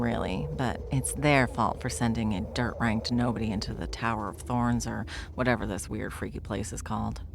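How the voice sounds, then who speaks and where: A woman playing a bored, smug, powerful villain in an ASMR roleplay, with a wry, taunting drawl and a creaky voice. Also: very deep voice, slight background noise. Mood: mocking, dismissive.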